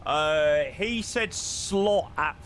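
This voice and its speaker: A man's voice: on the high side, takes it slowly, a bit bright, slight background noise. A young man with a London accent, chatting casually with viewers while flying a simulator and making radio calls. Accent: London English. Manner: chatty, joking, thanking viewers. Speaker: flight sim streamer.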